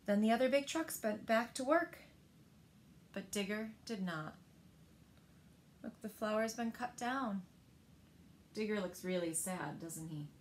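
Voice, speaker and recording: A woman in her thirties reading a picture book aloud to children in a gentle, deliberate manner, pausing to ask them questions. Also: mid-range voice, animated, a little hiss or hum. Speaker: library storyteller. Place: indoors, small living room by windows.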